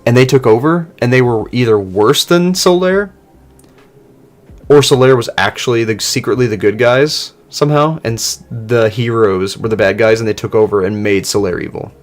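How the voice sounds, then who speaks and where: A man hosting a livestream, reading web fiction aloud with casual commentary, thinking out loud as he goes. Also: medium pitch, normal speed, faint music behind. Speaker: livestream host.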